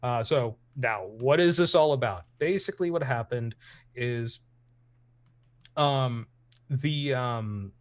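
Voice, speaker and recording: A man's voice: mid-range voice, slow pace, pauses often, no echo. A man hosting a stream, chatting casually about politics with lots of fillers and restarts; English is not his first language. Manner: rambling, many fillers and restarts. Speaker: streamer host.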